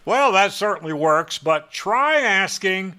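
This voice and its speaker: A man's voice: high voice, lively intonation, slow, pushed and bright. A man presenting a hobbyist how-to, speaking in an opinionated, emphatic way with wry asides. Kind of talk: how-to narration with sarcastic asides.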